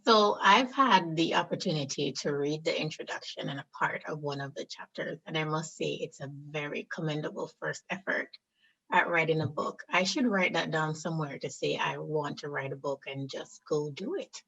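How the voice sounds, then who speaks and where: A woman's voice: low-pitched, moderate pace, vocal fry, a bit bright, breathy edge, muffled recording. A woman hosting a podcast, reading a sponsor message and asking her guest questions. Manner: reads sponsor copy, then asks questions. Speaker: podcast host.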